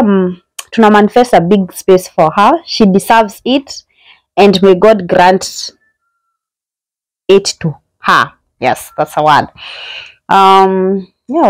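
A woman's voice: medium pitch, darkish tone, dry recording, low-quality recording. Young Kenyan woman vlogger, chatty and admiring, dry close sound in a small room, mid-range voice. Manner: chatty and admiring, mixing English with Swahili slang. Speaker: vlogger giving a house tour. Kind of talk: casual house-tour narration. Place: small indoor bedsitter room.

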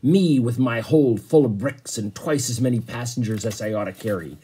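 A man voicing a gruff, short-tempered sea captain from a storybook, blustering and growling. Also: mid-range voice, normal speed, dark tone. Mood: grumbling. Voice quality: roaring, growling.

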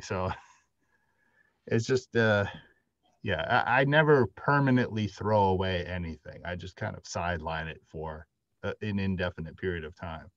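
A man's voice: fairly low pitch, no echo. A middle-aged man, a writer, talking casually as a panelist on a video call. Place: indoor room, video call. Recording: dull lo-fi video call audio.

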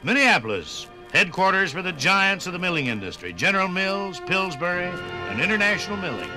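A man narrating a scripted 1950s radio documentary in an emphatic, measured and dramatic announcer's style, heard on an old broadcast record. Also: sits high, very animated, music in the background, low-quality recording.

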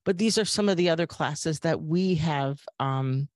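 A woman's voice: deep voice, moderate pace, darkish tone, no echo, studio quality. A woman, a firearms instructor giving a conversational webinar talk on a video call, with frequent ums and uhs. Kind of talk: webinar presentation. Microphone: video call webcam.

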